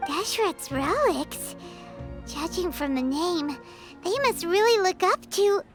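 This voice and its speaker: A woman voicing a tiny childlike sprite companion in a video game, cheeky and curious, with a squeaky voice and blunt, exaggerated reactions. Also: expressive, slow pace, exaggerated voice. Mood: puzzled. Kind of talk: video game character acting. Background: background music.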